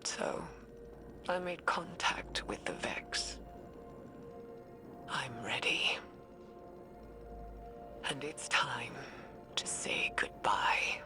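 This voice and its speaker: Weary, whispering old scientist. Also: female voice, extremely low pitch, animated, heavily acted. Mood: weary, yearning. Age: older. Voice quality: breathy, whispery, frail. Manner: slow, weary, solemn. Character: weary old woman scientist, guilt-ridden, grieving, resolute. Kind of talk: dramatic recorded log monologue. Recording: music behind the voice.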